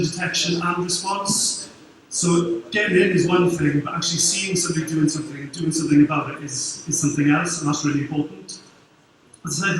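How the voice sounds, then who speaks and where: A man's voice: fairly high pitch, a bit bright, a little hiss or hum. Welsh man talking in an echoey hall. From Wales. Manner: quick, informal, dry humour. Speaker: conference speaker. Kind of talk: conference talk. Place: large dark conference hall. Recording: very echoey hall, distant mic.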